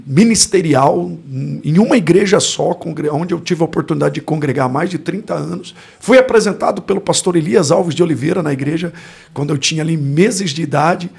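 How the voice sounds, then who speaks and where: Gravelly Brazilian man in his forties. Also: a little high, moderate pace, darkish tone. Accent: Brazilian Portuguese. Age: 40s. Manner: reflective, searching for words, tags lines with "né?". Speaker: pastor and guest. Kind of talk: personal life story in a podcast interview.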